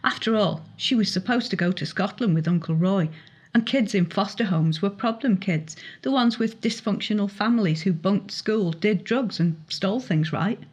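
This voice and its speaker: A woman's voice: medium pitch, normal speed, a little mellow, husky. A woman reading her young adult novel aloud as dramatic audiobook narration. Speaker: author reading own novel excerpt.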